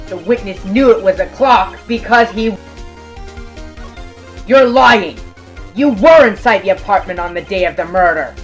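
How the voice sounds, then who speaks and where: An earnest young male defense attorney played by a fandub actor, sharp and dogged, declaiming arguments in game courtroom style. Music plays behind the voice. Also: medium pitch, lively intonation, a little slow, pauses often. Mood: confident.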